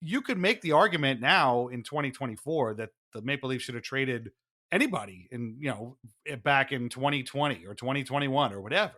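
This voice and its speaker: Bright-voiced man chatting about sports. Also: medium pitch, animated, brisk. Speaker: podcast co-host. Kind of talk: casual sports talk.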